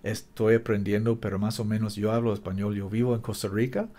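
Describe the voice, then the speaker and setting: A male preacher whose first language is English, teaching the Bible in a learner's Spanish, often halting and correcting himself. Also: on the low side, steady tone, mellow. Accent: English-speaking learner of Spanish. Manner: halting, self-correcting, apologetic about mistakes. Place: Costa Rica.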